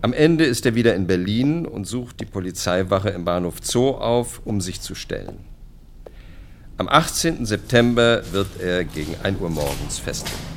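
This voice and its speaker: A man's voice: lowish voice, lively intonation, normal speed, a little hiss or hum. A man narrating a scripted radio play in a documentary-like style.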